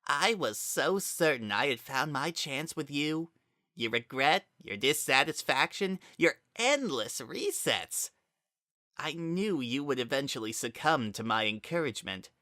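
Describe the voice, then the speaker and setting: A narrator voicing a menacing, fervent child spirit that wears a monster's skin, speaking in a slow, theatrical, hollow, coaxing monologue for a dramatic story reading. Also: mid-range voice, big pitch swings, bright, forceful tone, no room sound, clean studio sound, voice pushed up. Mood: bitter, intense. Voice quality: maddened, hollow tone. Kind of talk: dramatic reading of fan fiction.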